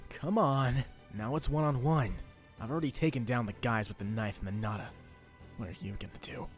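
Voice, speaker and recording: A man's voice: medium pitch, brisk, faint music behind, natural voice. A man voicing a cocky, hot-headed young shut-in in an anime fan dub, with a brash, taunting, theatrical delivery. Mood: sarcastic, taunting.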